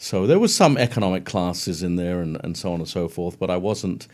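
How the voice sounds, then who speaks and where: A middle-aged man with a London English accent, a guest chatting casually and warmly about his career in an interview. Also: deep voice, expressive, brisk. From England. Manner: friendly, chatty, brief laughs.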